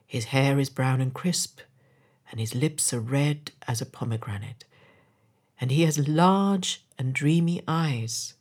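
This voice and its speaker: A woman voicing a gentle, sorrowful statue of a prince, compassionate and quietly commanding, in a solemn storybook manner. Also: extremely low pitch, slow, husky, pitched below the performer's own voice, exaggerated voice. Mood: compassionate, grave. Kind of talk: storybook character voice.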